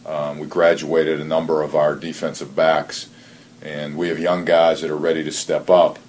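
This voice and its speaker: Man, football coach, very low pitch, earnest measured delivery, repeated phrases, conference call, faint noise. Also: level tone, a little mellow. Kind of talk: conference call interview answers. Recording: noisy conference call audio.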